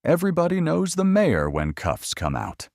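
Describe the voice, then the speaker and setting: An irritable police sergeant in his thirties, played by a male narrator in a storybook drama. He is terse, dismissive and in charge, his pitch swinging widely in a close, dry sound. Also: huge pitch swings, normal speed, gravelly, a little mellow, dry recording, exaggerated voice. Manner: terse, authoritative. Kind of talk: audiobook story dialogue.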